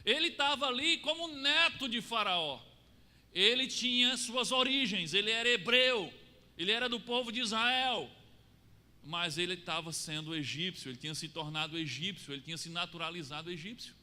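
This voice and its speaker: A Brazilian man preaching a sermon, swinging between raised lines and low, quiet drops for emphasis. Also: expressive, moderate pace. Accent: Brazilian Portuguese. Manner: rises and falls, drops low to stress points. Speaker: pastor. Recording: long reverb of a church hall.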